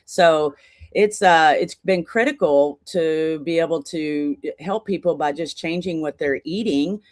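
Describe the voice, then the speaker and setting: A woman's voice: sits low, a little slow, darkish tone, no room sound. Earnest woman in her sixties telling stories. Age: 60s. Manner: earnest, talks in long run-on stories with laughter. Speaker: podcast guest, charity founder. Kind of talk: casual interview storytelling.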